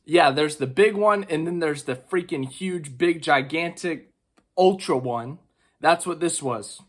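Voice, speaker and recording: A man with strep throat giving a casual, chatty race recap, excitable and full of fillers. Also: on the high side, a little slow. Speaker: racing vlogger.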